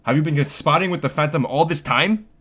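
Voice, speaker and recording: A man's voice: a little high, brisk, a bit bright, voice pushed up. A man voicing a furious, accusing opera singer in an audio drama, with an indignant delivery. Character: furious, accusing opera tenor confronting a young woman.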